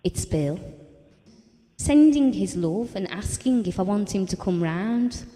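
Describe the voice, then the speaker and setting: A candid, self-deprecating wife in an audio drama, with very animated intonation and a soft, mellow tone. She confides in a wry, conversational way, in a space with long reverb. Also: mid-range voice, takes it slowly. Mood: defensive, wry. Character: candid, self-deprecating, bereaved wife reminiscing about her marriage. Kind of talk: intimate audio drama monologue.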